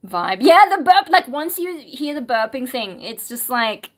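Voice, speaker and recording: A woman's voice: high voice, very animated, measured pace. A woman, a singer, chatting casually on a livestream, reading viewer questions aloud and musing with frequent ums. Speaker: singer and streamer answering chat.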